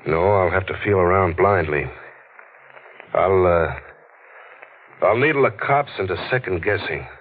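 A man's voice: low-pitched, animated, unhurried, long pauses. A man with an American accent playing a wry, stubborn newspaper reporter who narrates in slangy 1940s radio noir style. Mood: wry, frank. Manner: slangy, sardonic. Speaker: lead actor and narrator. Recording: tinny, noisy old radio broadcast.

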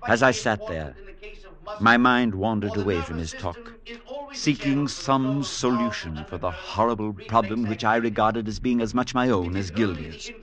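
An actor playing a concerned, resolute priest who narrates, earnest and firm, in a late 1940s radio drama. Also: male voice, on the low side, normal speed, a crowd in the background, lightly acted. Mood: grave, measured. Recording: vintage radio broadcast with clear music.

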